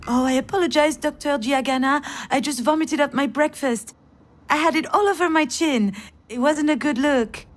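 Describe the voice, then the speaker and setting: A woman's voice: high-pitched, normal speed, close to an everyday voice. An actress playing a frazzled, self-deprecating woman who narrates her inner monologue in a visual novel game, sardonic and confessional. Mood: flustered, apologetic. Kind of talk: visual novel voice acting, first-person narration.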